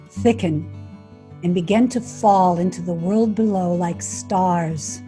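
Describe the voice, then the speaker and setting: A woman reading a poem aloud in a measured recital style. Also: mid-range voice, dark tone. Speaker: poetry reader.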